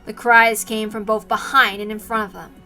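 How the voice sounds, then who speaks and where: A woman's voice: on the high side, flat delivery, moderate pace, bright, forceful tone, soft background music. A woman narrating a story in an amateur read-aloud, as its reader.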